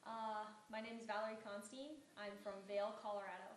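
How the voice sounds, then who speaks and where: A woman's voice: medium pitch, level tone, brisk, a little hiss or hum. A young woman runner chatting playfully in a group interview. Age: young adult. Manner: giggly, playful. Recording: echoey room, crowd, distant.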